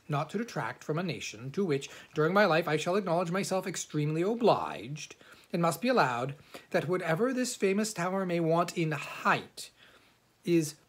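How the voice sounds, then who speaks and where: A man reading an old classic novel aloud as an amateur audiobook narrator, in a measured, formal storytelling manner with a husky voice. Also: a little high, expressive.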